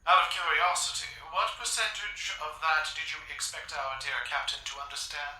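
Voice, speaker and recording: A man's voice: a little high, fairly even intonation, moderate pace, bright, forceful tone, roomy sound, slight background noise, rough recording. A man playing a dry, wry spaceship crew member in a sci-fi comedy audio drama.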